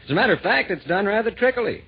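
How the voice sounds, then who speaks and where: A man narrating an old radio play in rhyme, theatrical, measured and wry. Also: high-pitched, very animated, slightly rough. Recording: dull vintage radio recording.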